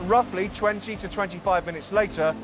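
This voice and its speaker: A man in his forties leading a tour of a large factory floor, explaining each production step in a calm, clear way. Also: sits high, brisk. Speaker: factory guide. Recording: music bed under voice.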